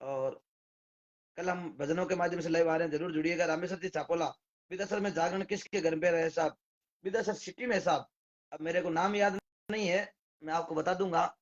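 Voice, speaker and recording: Hoarse Rajasthani man, lo-fi stream. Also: fairly high pitch, fairly even intonation, brisk. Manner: rattles off viewers' names with thanks and greetings. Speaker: devotional singer hosting a live stream. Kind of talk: casual live-stream greetings.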